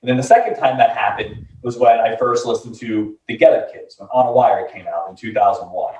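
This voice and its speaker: A man's voice: on the low side, animated, a little fast, a little mellow. Rambling man in his thirties, distant and roomy. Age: 30s. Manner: rambling, laid-back storytelling with many fillers. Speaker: band member. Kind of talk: casual chat. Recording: roomy, distant, dull mic.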